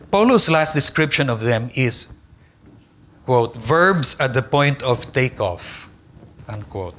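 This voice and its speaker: Older male lecturer, Tagalog his first language; bright, measured voice, reads deliberately at a lectern mic in a lecture hall. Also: medium pitch. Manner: measured, deliberate reading with careful pauses. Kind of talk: academic conference lecture read from a text. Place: large lecture hall with an audience. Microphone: lectern microphone.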